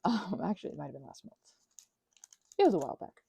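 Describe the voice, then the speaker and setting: A woman chatting casually in a rambling, digressive way to her audience, animated and poking fun at herself. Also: lowish voice, fast, gravelly, husky, no room sound. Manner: animated, self-mocking, digressive. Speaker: hobbyist creator talking to her audience. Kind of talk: casual rambling chat, ASMR channel.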